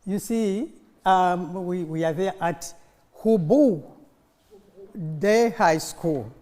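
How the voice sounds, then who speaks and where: A mature Ugandan woman with a Ugandan accent and Luganda as her first language, giving an informal conference speech as an animated storyteller who prompts her listeners with questions. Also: on the low side, drawn-out words. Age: middle-aged or older. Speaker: conference speaker. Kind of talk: informal conference speech with audience call-and-response. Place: conference hall, live talk to an audience. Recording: echoey hall, long reverb.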